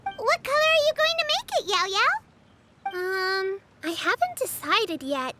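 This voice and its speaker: A woman's voice: very high voice, sing-song, unhurried, heavily acted. A woman voicing a small, cheerful young girl in a fantasy video game, bubbly and childlike, full of exclamations. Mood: curious, bright. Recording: game audio under loud background music.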